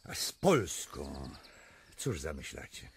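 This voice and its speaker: A man's voice: rumbling low, wildly swooping pitch, takes it slowly, vocal fry, a little hiss or hum, full character voice. An actor playing a proud, anguished, doomed Polish king in a radio drama, solemn and declamatory. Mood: anxious.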